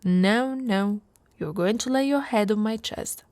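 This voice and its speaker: A woman playing a big, tough but tender orc wife in an intimate, soft ASMR roleplay. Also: mid-range voice, no echo, natural voice. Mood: playful, teasing. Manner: soft, slow, intimate, often breathy with soft chuckles.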